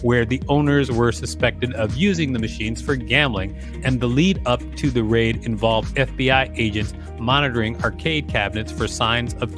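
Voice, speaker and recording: Man reading an article over music. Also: mid-range voice. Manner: steady reading, relaxed quick asides. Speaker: podcast co-host reading articles. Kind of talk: reading an encyclopedia article aloud with asides. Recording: music bed underneath.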